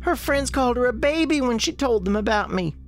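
A woman's voice: on the high side, expressive, fairly quick, faint music behind, close to an everyday voice. A woman playing a frightened ghost girl, an imaginary friend, in a radio drama, pleading with breathless urgency. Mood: anguished.

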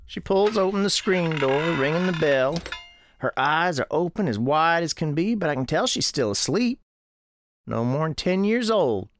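An actor playing an elderly country storekeeper, narrating an audio drama in a folksy, deliberate, musing way. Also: male voice, on the high side, a bit bright, heavily acted. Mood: hushed, watchful. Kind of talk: first-person scripted narration, audio drama.

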